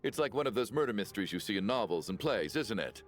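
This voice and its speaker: A man's voice: lowish voice, big pitch swings, fast. Theatrical, swooping-voiced self-important man. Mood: ominous deduction. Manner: theatrical, insistent, prodding with questions. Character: panicky, accusatory, self-important wealthy man under threat. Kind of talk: video game voice acting. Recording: music behind the voice. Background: music.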